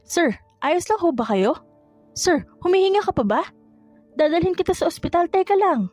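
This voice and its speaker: A woman's voice: very high voice, sing-song, moderate pace, darkish tone, no echo, soft background music, voice pushed up. A woman playing a feisty, playful young female fish vendor in an audio drama, exclamatory, cheeky and easily flustered. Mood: flustered, panicked.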